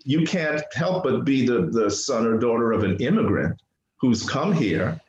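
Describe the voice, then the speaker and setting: A man's voice: mid-range voice, lively intonation, unhurried, darkish tone, dull audio, a little hiss or hum. An older man from New City, telling personal stories casually and animatedly, repeating phrases for emphasis. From New York City, United States. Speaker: podcast guest, artist. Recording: roomy, echoing interview sound.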